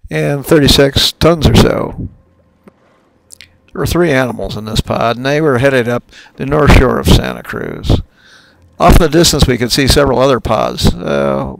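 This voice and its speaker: An older man, a sailing captain, narrating his own trip video in a relaxed, folksy way with some fillers. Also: medium pitch, vocal fry, very noisy.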